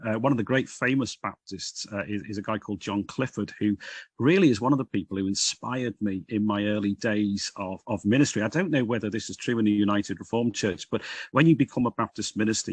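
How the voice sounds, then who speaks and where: A man's voice: on the low side, breathy edge, dry recording. A man in his sixties with a Liverpool accent, a minister talking earnestly and reflectively as a guest on a video call from home. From Liverpool, England. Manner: earnest, reflective, frequent restarts and "dare I say". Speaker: Baptist regional minister, guest. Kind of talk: conversational podcast interview. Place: home room, indoors.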